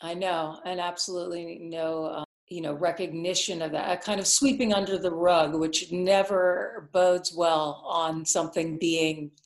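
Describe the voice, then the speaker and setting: A woman's voice: lowish voice, fairly even intonation, measured pace, hardly any pauses. A woman hosting an interview, talking earnestly and rambling with fillers and restarts. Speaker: podcast host. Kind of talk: casual interview. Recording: roomy echo.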